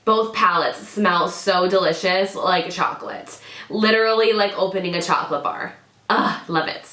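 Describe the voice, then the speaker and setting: A woman's voice: fairly low pitch, normal speed, bright, forceful tone, slight background noise. A woman in her thirties, slightly nasal as she gets over a cold, chatting enthusiastically to camera as a beauty YouTuber reviewing products at home. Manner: chatty, enthusiastic, stresses words by repeating them. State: recovering from illness. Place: home room with drawer units, indoors. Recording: echoey room.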